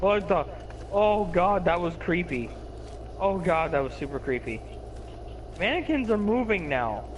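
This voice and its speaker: A man with a slight lisp talks over his game in a high, bouncing voice that leaps around as he yelps and curses. Game noise runs clearly under him on the voice call. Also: unhurried, pauses often, low-quality recording. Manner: excitable, yelping and cursing. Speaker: gamer. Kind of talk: excited gameplay chat.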